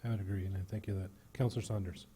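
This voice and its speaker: A man chairing a town council meeting, brisk and direct. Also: sits low, steady tone, mellow, a little hiss or hum.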